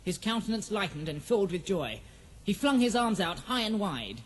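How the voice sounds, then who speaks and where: A man's voice: high voice, sing-song, moderate pace. A man playing the storyteller of an epic fantasy audio drama, giving formal, dramatic scripted narration. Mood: dramatic, measured.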